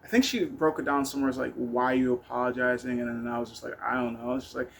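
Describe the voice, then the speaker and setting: A man's voice: medium pitch, fairly even intonation, quick pace, vocal fry, fairly bright tone. A man telling personal stories in a casual, rambling monologue, blunt and candid, with some swearing. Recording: noticeable background noise.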